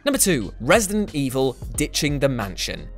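A man's voice: medium pitch, very animated, normal speed. A British man in his thirties presenting scripted countdown commentary in a sarcastic, emphatic, exaggerated manner. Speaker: video list presenter. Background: background music.

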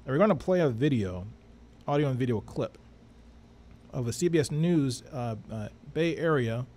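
A man's voice: medium pitch, animated, moderate pace, darkish tone. Man co-hosting a radio show, faint noise. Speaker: co-host. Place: small studio, indoors. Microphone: close studio microphone on arm.

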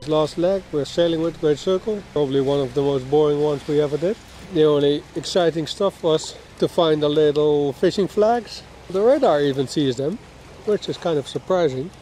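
A man's voice: fairly high pitch, normal speed, hardly any pauses, slight background noise, low-quality recording. A man, casually chatting to the camera aboard his sailing catamaran. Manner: relaxed, chatty, laughs easily. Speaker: sailing vlogger. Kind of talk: casual vlog narration. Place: aboard a catamaran, outdoors and in the saloon. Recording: music playing underneath.

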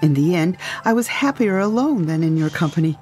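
Woman acting as breezy secretive ex-wife, lowish female voice, dystopian audio drama, faint music. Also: expressive, fairly quick, a little mellow, natural voice. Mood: frank, wistful.

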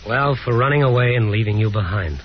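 An actor in a 1950s radio drama playing a restless, wistful son haunted by memory, reflective and dramatic. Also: male voice, mid-range voice, brisk, background noise, natural voice. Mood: regretful. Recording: dull vintage radio recording with music.

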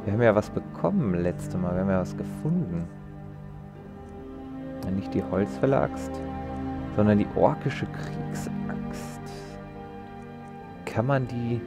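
A man's voice: lowish voice, big pitch swings, unhurried, long pauses, music in the background. A man giving casual let's play commentary, rambling and joking irreverently.

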